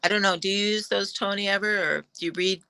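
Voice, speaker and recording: A woman's voice: mid-range voice, level tone, fast talker, pushed and bright, clear, resonant tone, dry recording. A woman playwright chatting casually on a video call, giving advice in an offhand, tentative way. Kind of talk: casual chat on a panel.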